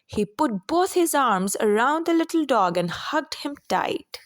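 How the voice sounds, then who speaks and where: A woman narrates a children's storybook in a high, animated voice. She takes her time, shaping each phrase expressively, and the sound is close and dry. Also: dry recording. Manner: expressive, deliberate, simple storybook delivery. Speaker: storyteller reading children's books aloud.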